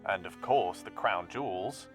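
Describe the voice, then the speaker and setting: An actor playing a polite, guarded male secretary in a video game, speaking in a formal, measured way with a British accent. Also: deep voice, faint music behind. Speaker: dean's assistant.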